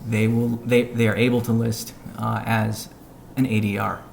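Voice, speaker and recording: Male, low creaky voice, measured business delivery, conference call update, pauses between phrases, faint background noise. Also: flat delivery. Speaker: company executive giving an update and answering questions. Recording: noisy conference call audio.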